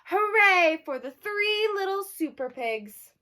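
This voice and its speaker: A woman storyteller reading a storybook aloud to children, expressive, with asides and questions to her young listeners. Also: very high voice, slow.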